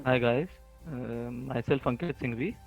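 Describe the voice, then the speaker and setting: A man's voice: mid-range voice, measured pace, creaky, soft background music. A man from Delhi in a student group who introduces himself with many fillers. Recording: tinny phone-like audio.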